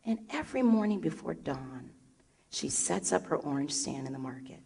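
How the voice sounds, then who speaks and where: A woman putting on a gentle older mother from Liberia, spinning an unhurried story in a low-pitched, soft-spoken voice. The sound rings with a long echo of a big hall. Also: lively intonation, gravelly, husky, full character voice. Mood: storytelling. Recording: echoey hall.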